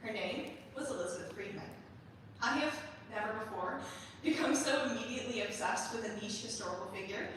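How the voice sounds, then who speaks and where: A young adult woman giving a prepared, measured introduction as a student composer presenting her staged reading. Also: mid-range voice, a bit bright. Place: large performance hall. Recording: very echoey hall, distant mic, faint noise.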